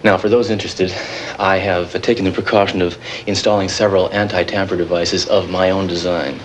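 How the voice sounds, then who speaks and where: Man acting a nervous defiant terrorist bomb guy, lowish voice, rambles and stalls, noisy old tv feed. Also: flat delivery, lightly acted. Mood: defiant, threatening. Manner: halting, rambling, stop-start explaining. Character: nervous but defiant male bomb-builder terrorist with technical know-how. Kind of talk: naturalistic TV drama acting. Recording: noisy 1980s TV live-feed audio.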